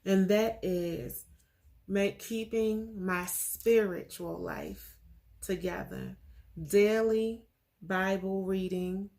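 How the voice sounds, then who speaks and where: Earnest woman in her forties, vlogger; slow, gravelly, many pauses and fillers. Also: medium pitch, a little mellow. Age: 40s. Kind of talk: casual faith vlog.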